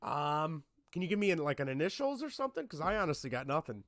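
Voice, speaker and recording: Man, podcast host, hoarse from laryngitis, fairly high voice, dry close sound, chatty, self-deprecating. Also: big pitch swings, fast talker, fairly bright tone, dry recording. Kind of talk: casual podcast chat.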